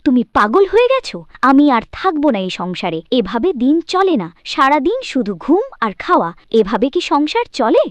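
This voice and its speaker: A woman's voice: high-pitched, very animated, normal speed, hardly any pauses, no room sound. A woman voicing a fed-up village housewife in a cartoon, nagging and complaining. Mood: upset, scolding. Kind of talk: cartoon voice acting.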